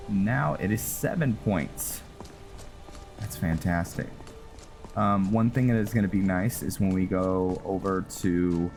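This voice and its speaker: Man, deep voice, slow, halting commentary, gameplay music loud. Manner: halting, many fillers and restarts. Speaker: roleplay gaming host. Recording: voice over loud game music.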